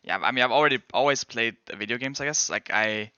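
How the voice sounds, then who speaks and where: Young Danish man, bright and clear. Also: mid-range voice, a little fast, no room sound, highs cut off. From Denmark. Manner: rambling, hedging, self-correcting. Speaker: game streamer and pro player. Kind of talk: casual chat answering viewers.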